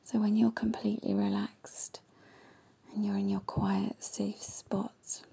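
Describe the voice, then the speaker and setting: A woman guiding a meditation in a gentle, soothing, half-whispered voice. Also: medium pitch, level tone, gravelly, breathy edge. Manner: gentle, slow and soothing instructions. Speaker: meditation guide. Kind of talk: guided meditation.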